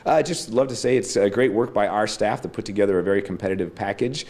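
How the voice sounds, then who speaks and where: A man's voice: lowish voice, fast, a little mellow. A man speaking as an airport official, giving explanatory answers in an interview.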